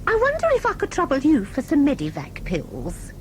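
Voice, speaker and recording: A woman's voice: high-pitched, wildly swooping pitch, moderate pace, darkish tone, slight background noise. A woman playing a chatty, fussy, kindly old lady passenger in an audio drama, with a polite, rambling manner. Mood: polite, apologetic.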